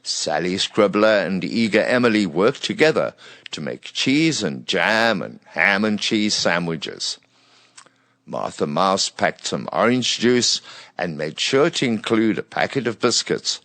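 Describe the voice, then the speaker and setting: Male narrator for children's bedtime tales. Low register, animated expressive reading, dry recording. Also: unhurried, no echo. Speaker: storyteller.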